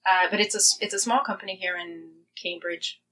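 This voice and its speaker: A woman's voice: fairly low pitch, steady tone, a little fast, bright, forceful tone, low-quality recording. A woman chatting casually and enthusiastically as a guest playwright in an interview. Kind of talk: casual chat.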